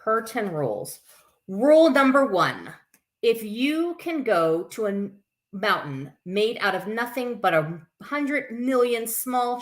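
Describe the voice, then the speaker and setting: A woman hosting a live story time for children, reading aloud with informal, chatty asides. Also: mid-range voice. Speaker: camp staff story time host.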